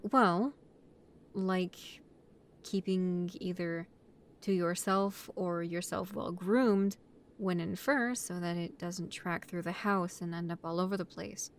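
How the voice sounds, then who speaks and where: A woman playing a young female vampire housemate in a roleplay audio, setting out rules in a halting, matter-of-fact way. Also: lowish voice, steady tone. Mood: matter-of-fact, polite. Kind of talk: roleplay audio acting, addressing the listener.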